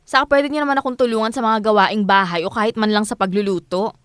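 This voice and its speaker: Actress as a plaintive young Filipino daughter, dry sound. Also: female voice, mid-range voice, fairly even intonation, fast talker, fairly bright tone, smooth, no room sound, close to an everyday voice. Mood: hurt, pleading. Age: young adult. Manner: plaintive, respectful. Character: put-upon, dutiful adult daughter defending herself to mother. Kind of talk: Filipino audio drama acting.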